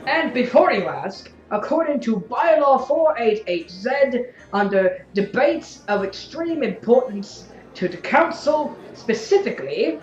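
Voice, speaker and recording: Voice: medium pitch, sing-song, unhurried, few pauses. A fiery young revolutionary debating in an audio drama, sardonic and pointed. The voice sits in a dull, echoey room with music behind it. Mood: pointed, officious. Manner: argumentative, sardonic, tags sentences with "yeah?". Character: fiery, sarcastic young revolutionary council member.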